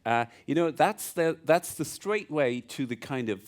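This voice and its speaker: A man taking issue in a panel debate, argumentative with halting restarts. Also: a little high, expressive. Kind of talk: debate rebuttal.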